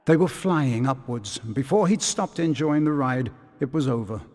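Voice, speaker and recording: A man's voice: mid-range voice, lively intonation, darkish tone. Male storybook narrator in long reverb. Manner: measured, dramatic storytelling. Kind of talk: scripted storybook narration for a video game.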